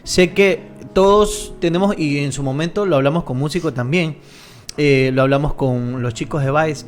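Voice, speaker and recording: Playful Ecuadorian host, slightly high voice, light music behind. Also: male voice, normal speed. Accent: Ecuadorian Spanish. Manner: lively, joking, playful. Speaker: podcast host. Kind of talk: casual interview.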